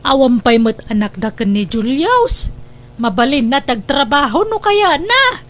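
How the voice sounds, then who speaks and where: A high-voiced neighbourhood friend from a family radio drama, played by a woman. She comes across as sympathetic, chatting as one confidante to another. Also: very animated, unhurried, a bit bright, full character voice. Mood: concerned.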